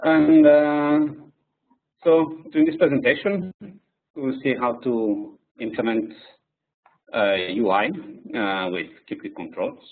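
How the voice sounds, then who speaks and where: Non-native man presenting a coding webinar. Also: on the low side. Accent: French-Spanish. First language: French and Spanish. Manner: hesitant, with many fillers. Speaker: software engineer presenter. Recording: distant tinny phone-like audio.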